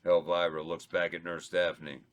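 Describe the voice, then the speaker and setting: A man reading stage directions aloud at a table read, slow and a little halting. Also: deep voice. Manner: slow, flat, stumbles over words. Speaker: script reader of stage directions.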